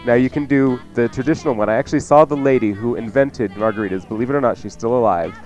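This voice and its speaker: Casual male TV chef, rapid and chatty with a joking streak, music under the talk. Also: mid-range voice, darkish tone. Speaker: cooking show host and chef. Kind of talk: casual cooking demonstration chat.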